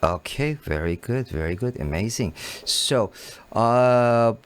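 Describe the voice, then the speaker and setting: A man hosts a live call-in show from a studio, his English halting, his short phrases repeated over and over. His pitch sits in the middle for a man. He keeps greeting viewers and guests with quick thanks. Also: animated, clear, resonant tone. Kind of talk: casual live show hosting.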